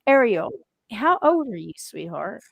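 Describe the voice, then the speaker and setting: Woman, podcast host, mid-pitched, slow and rambling, laughs a lot, dry sound. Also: sing-song, gravelly, no room sound. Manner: chatty, gushing, laughs often, trails off mid-sentence. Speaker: podcast host interviewing a band. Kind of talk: casual live-stream interview chat.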